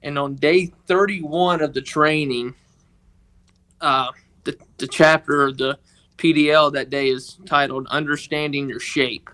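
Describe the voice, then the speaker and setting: A man with a Mississippi accent, telling a personal story on a podcast in a halting, earnest way. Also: a little high, fairly even intonation, sharp tone. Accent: Southern US, Mississippi. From northeast Mississippi, United States. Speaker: podcast guest, organization member telling his story.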